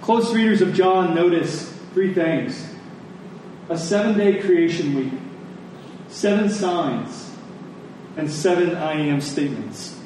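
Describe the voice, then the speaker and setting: A man preaching a sermon in a conversational, deliberate way, telling stories and explaining scripture, pausing and repeating phrases for emphasis. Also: on the high side, darkish tone. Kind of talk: sermon with storytelling and scripture exposition. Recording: echoey room, distant mic, faint noise.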